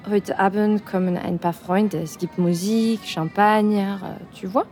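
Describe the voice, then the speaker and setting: Mellow young French woman, played by an actress. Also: mid-range voice, fairly even intonation, a little slow, soft background music, natural voice. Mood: inviting. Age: young adult. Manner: casual, hesitant, searching for German words. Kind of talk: intimate audio drama acting.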